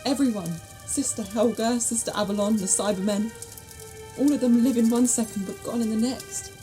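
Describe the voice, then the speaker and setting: An actress plays an earnest female adventurer in an audio drama, emotional and easily exasperated. Her voice sits fairly high, with faint music behind it. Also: normal speed, dark tone, natural voice. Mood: grieving, questioning. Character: Elisabeth, plain-spoken, anxious young woman companion.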